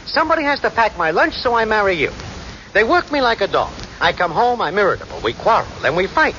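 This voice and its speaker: An actor playing a lazy, smooth-talking schemer boyfriend in 1940s radio comedy, slangy, glib and persuasive. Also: male voice, high-pitched, very animated, quick pace, bright, forceful tone, heavily acted. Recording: noisy, muffled 1940s radio recording.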